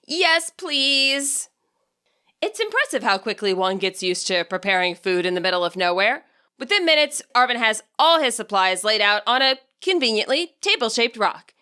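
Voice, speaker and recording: A woman reading a scripted story as its narrator, with a wry, expressive delivery. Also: mid-range voice, fairly quick, clear, resonant tone. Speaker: author narrating own fan fiction audiobook.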